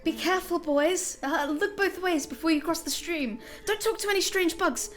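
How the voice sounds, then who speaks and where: A woman's voice: very high-pitched, normal speed, a bit bright, soft background music, pitched above the performer's own voice. A woman voicing an anxious mother dragonfly who rattles off fretful warnings in a fan script reading.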